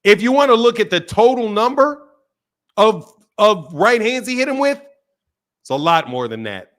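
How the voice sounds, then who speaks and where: Male stream host, high-pitched, animated, slangy and profane, repeats himself, reacting to chat. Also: normal speed. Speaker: boxing talk show host reading chat. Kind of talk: casual live-stream chat.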